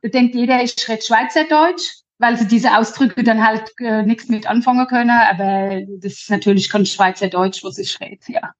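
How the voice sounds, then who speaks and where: A woman with a Lower Franconian accent chats cheerfully as a podcast guest, often starting sentences over. Her voice sits fairly high. The recording sounds dull and lo-fi. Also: normal speed, few pauses. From Lower Franconia, Germany. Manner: cheerful, talkative, frequent restarts. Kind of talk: casual interview chat.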